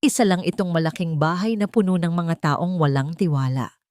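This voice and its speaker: A woman voicing a wounded but polite and firm young housemaid in a dramatized love story, speaking with quiet restraint. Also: fairly low pitch, moderate pace, no room sound, clean studio sound, lightly acted. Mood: quiet bitterness.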